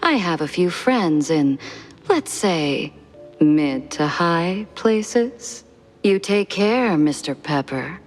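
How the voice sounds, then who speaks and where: An actress playing a poised, shrewd woman, measured and coolly polite, in a noir cartoon game, with long reverb. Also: lowish voice, animated, faint music behind.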